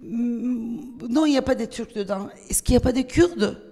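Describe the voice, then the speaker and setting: A woman speaking French with a Turkish accent and a rather high voice, telling her story with many fillers and restarts that break into animated bursts. Her voice rings out with a long reverb, as if across a large hall. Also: unhurried. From Turkey. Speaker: panel guest, writer. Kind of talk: panel discussion. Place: festival hall.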